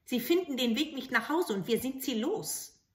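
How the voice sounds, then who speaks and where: A woman's voice: sits high, lively intonation, moderate pace, voice pushed up. A woman voicing a harsh, heartless fairy-tale stepmother with a stern, commanding manner. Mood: scheming. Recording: roomy home recording.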